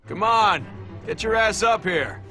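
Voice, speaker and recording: A man's voice: sits high, a little slow, sharp tone, faint music behind, exaggerated voice. A man playing a cocky, teasing monster hunter in an English-dubbed video game cutscene. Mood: rousing, amused. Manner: wisecracking, teasing.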